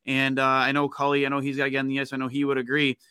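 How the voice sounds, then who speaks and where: A man's voice: medium pitch, monotone, very fast, bright, forceful tone, smooth, no echo, clean studio sound. A man co-hosting a hockey podcast in casual, chatty style. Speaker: podcast co-host.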